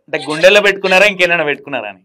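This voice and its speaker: A man's voice: high voice, very fast, sharp tone. A man hosting a casual phone interview, asking probing questions in a teasing, prodding manner. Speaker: interview host.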